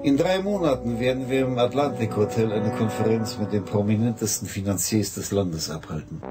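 Radio drama: male actor as elder tycoon, low pitch, formal and commanding, music underneath. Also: lightly acted. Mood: businesslike. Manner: deliberate, formal, authoritative. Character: rich, businesslike elder financier, a father. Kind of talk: German radio drama acting, 1980s. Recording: music behind the voice.

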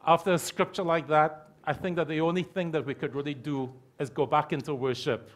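A middle-aged man preaching a sermon from a church pulpit mic, repeating key phrases slowly for emphasis. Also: on the high side, steady tone. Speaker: pastor. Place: church hall with stage curtains.

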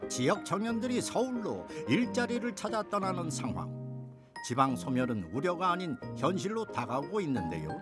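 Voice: medium pitch, normal speed. A TV feature narrator reading a playful, scripted voice-over. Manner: playful, lively delivery. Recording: music behind narration.

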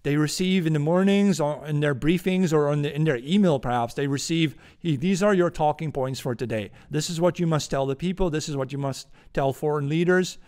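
A man in his forties with a Dutch accent, hosting a political commentary podcast from a room at home on a boom-arm studio mic, ranting indignantly. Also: fairly quick, hardly any pauses, a little mellow. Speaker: podcast host.

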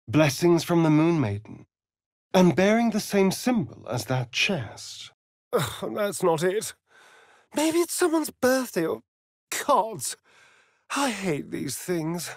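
An actor playing a sardonic, flirtatious vampire elf rogue in a video game, with an arch, droll, theatrical delivery. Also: male voice, sits high, sing-song, takes it slowly, no echo, clean studio sound, heavily acted. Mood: arch, teasing. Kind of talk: video game character voice lines.

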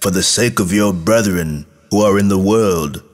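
A man's voice: low-pitched, moderate pace, in a large hall. A man voicing the Son of God as a grave, reproachful divine judge in a scripture reading. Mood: solemn mercy.

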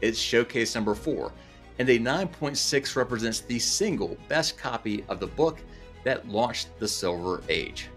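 A man's voice: mid-range voice, expressive, a little slow, a bit bright, faint music behind. A man in his forties hosting a comic book collecting video, talking through scripted commentary with enthusiastic, playful asides. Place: indoor room with chandelier.

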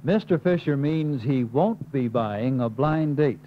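A man's voice: medium pitch, animated, a little slow. An American man reading scripted instructional narration in an emphatic manner, as the narrator of a training film. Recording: muffled, hissy 1950s film soundtrack.

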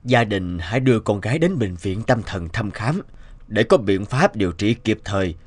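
A man's voice: on the low side, big pitch swings, normal speed, slightly rough, dry recording. A man voicing a sober, authoritative middle-aged doctor in a cartoon, formal and explanatory. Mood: grave, explaining. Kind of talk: cartoon voice acting.

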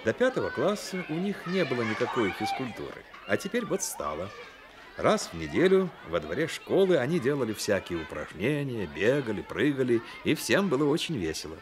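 A man narrating a radio play as a dramatic storyteller, measured and expressive. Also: medium pitch, a crowd in the background, background noise.

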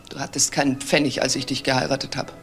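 A wronged wife in a crime drama. She is a controlled, bitter woman who questions with suspicion and hurt, with soft music underneath. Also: rumbling low, level tone, quick pace, reverberant. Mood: bitter, pleading. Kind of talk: dramatic dialogue acting.

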